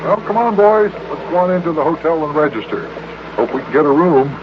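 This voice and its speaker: A man playing a steady, kindly grown-up who calmly guides his companions in a children's radio serial. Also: a little high, a little fast, background noise, close to an everyday voice. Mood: businesslike. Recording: muffled old radio recording with music.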